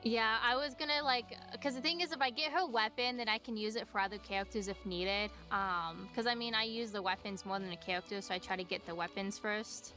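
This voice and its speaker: A woman game streamer with a fairly high, very bright voice. She chats casually as she plays, with faint music in the background. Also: a little fast, few pauses. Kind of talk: casual chat while gaming. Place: voice call with game music behind.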